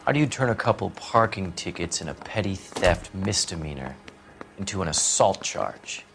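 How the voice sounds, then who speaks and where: Deep-voiced, self-righteous male suspect. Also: very animated, normal speed, gravelly, fairly bright tone, full character voice. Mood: indignant, evasive. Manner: articulate, indignant. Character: self-righteous, evasive male would-be writer under interrogation. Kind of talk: dramatic video game acting.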